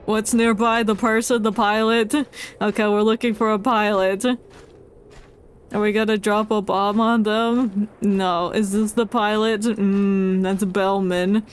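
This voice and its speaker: A woman's voice: mid-range voice, little pitch movement, a little slow, clean tone. A woman with a congested voice giving playful, chatty, casual live commentary as a game streamer. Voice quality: congested, stuffy nose. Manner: playful, chatty, mock-indignant. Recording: faint game music behind. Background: game audio and music.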